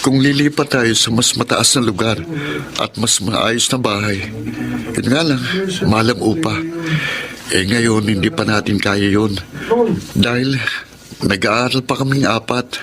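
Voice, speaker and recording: A man's voice: lowish voice, a little slow, pauses often, a crowd in the background. A man playing an earnest, dutiful young working student in a Filipino radio drama. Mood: encouraging.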